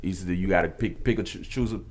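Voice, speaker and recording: A man's voice: deep voice, fast talker. A young man in his twenties co-hosting a casual podcast, telling loose slangy stories. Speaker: podcast co-host. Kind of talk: casual chat.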